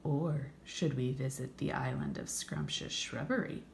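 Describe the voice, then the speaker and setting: A woman's voice: rumbling low, sing-song, unhurried, vocal fry, reverberant, a little hiss or hum, full character voice. A woman voicing a bold, commanding female deer captain in a storybook, with emphatic delivery. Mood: musing. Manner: emphatic, exclaiming.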